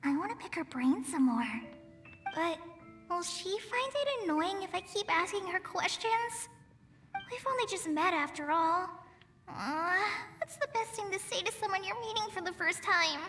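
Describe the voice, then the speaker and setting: A woman's voice: very high voice, lively intonation, moderate pace, fairly bright tone. A woman voicing a shy young female forest ranger trainee in a video game, timid and hesitant with many fillers. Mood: nervous. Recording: faint game music behind.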